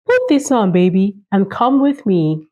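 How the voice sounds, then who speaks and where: A woman's voice: mid-range voice, lively intonation, unhurried, warm tone, highs cut off. A woman voicing a loving grandmother from a children's storybook, affectionately teaching and encouraging a child. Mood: fond, instructing. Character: loving, instructive grandmother in the kitchen.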